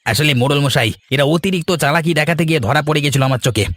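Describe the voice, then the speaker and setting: A man's voice: fairly high pitch, fast talker, fairly bright tone. A man playing a busy, helpful and honest village organizer in a cartoon. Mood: respectful. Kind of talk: Bengali village cartoon voice acting.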